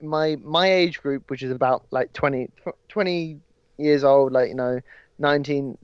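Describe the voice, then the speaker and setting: Young English man, smooth dry voice. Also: medium pitch, moderate pace, no room sound. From England. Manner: rambling, many restarts. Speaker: podcast guest. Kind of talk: casual chat. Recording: dry, slightly dull audio.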